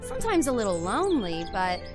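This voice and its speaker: A woman's voice: a little high, huge pitch swings, brisk. A woman in her twenties acting a friendly, self-effacing small-town young woman in an amateur audio drama. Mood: shy, playful. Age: 20s. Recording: background music under dialogue.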